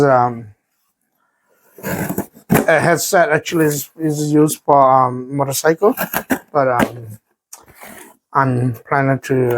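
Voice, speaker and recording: A man who is a native Vietnamese speaker gives a casual, unscripted product unboxing, haltingly searching for words. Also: medium pitch, gravelly, a little hiss or hum, poor audio.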